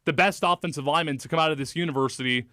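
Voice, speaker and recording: A man's voice: on the high side, rapid-fire, bright, forceful tone, no echo. A man hosting a sports talk radio show, speaking into a studio mic with a polished, emphatic delivery. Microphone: close studio microphone.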